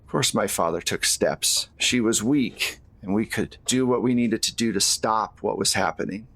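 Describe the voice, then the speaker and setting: A man playing a vengeful, hot-headed fairy prince in a tabletop game, speaking in a cold, menacing, measured way. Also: lowish voice, a bit bright. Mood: cold, bitter.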